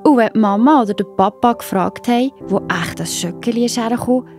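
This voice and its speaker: A woman's voice: on the high side, fast talker, a little mellow, faint music behind. A woman with a Swiss German accent narrating a scripted children's Bible story expressively, speaking directly to young listeners.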